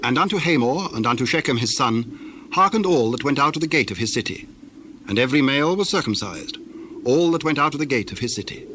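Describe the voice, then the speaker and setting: A male narrator reads scripture formally, in a measured storytelling way. His voice sits at a middle pitch, with faint noise and ambience behind it. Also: lively intonation, pushed and bright.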